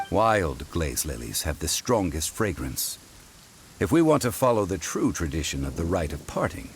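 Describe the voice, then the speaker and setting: Wise, dignified ancient scholar, very low voice with wide melodic swings, formal and measured. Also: male voice, slight background noise. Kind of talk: video game voice acting.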